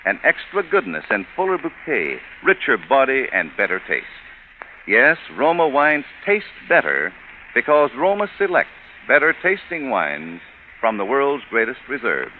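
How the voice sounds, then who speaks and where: Male vintage radio announcer, polished and emphatic, highly varied intonation, thin hissy broadcast sound. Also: big pitch swings, normal speed, phone-quality sound. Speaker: radio announcer and sponsor pitchman. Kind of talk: 1940s radio announcing, scripted banter.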